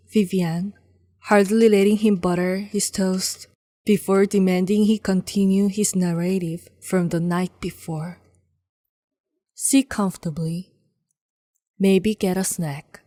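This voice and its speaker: A woman narrator reading a scripted dramatic story with deliberate, measured phrasing and pauses. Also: medium pitch, warm tone, no echo, studio quality.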